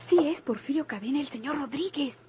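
An actress playing an excitable, nosy young daughter with a Mexican accent in an old radio drama. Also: female voice, high-pitched, brisk, heavy background noise. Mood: breathless, confiding. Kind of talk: golden-age Mexican radio drama acting.

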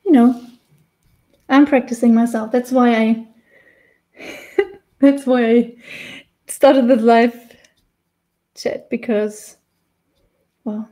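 German-accented woman in her thirties, warm and slightly high, relaxed chatty live-stream teacher. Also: extremely slow, pauses often. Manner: chatty, laughs often, restarts while painting. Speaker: face painting tutor. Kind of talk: casual live-stream tutorial. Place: small indoor room with decorated wall.

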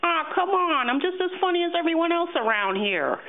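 A woman's voice: extremely high pitch, big pitch swings, fairly quick, voice pushed up, full character voice. A woman voicing a touchy, whiny male sock puppet in a comedy, nasal, stammering and argumentative, on a thin phone-like recording. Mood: pleading. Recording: thin phone-like sound, faint noise.

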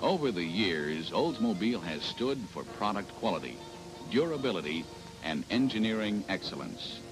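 Deep-voiced American male announcer, lively intonation. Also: moderate pace, low-quality recording. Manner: polished announcer delivery. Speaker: promotional film narrator. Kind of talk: scripted 1970s sales film narration. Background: music.

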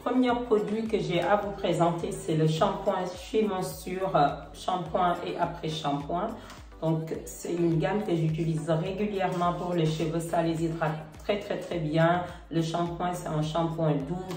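A middle-aged woman with a cold and a slightly congested voice, chatting casually to camera about products with a relaxed, hesitant manner. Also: low-pitched. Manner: relaxed, hesitant, many fillers. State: has a cold. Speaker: beauty vlogger. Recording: roomy, slightly distant, faint music.

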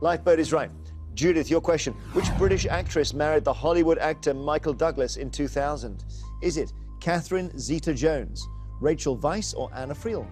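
A man's voice: a little high, normal speed, a little mellow, faint music behind. A middle-aged man hosting a TV quiz show in a studio, chatty and jokey. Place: TV studio set.

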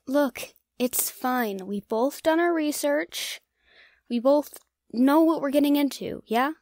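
A woman's voice: sits high, takes it slowly, darkish tone, no room sound, clean studio sound. A woman playing a brash young ghost hunter. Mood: reassuring. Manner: brash, teasing, talks herself up. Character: cocky young woman ghost-hunting vlogger. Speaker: voice actor in an audio drama. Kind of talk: audio drama acting.

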